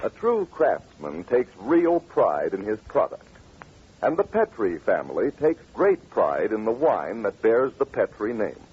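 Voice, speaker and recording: A man's voice: fairly low pitch, huge pitch swings, measured pace, slight background noise. A man announcing and hosting in a scripted 1940s radio style, with a polished, salesmanlike delivery. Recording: muffled old radio recording.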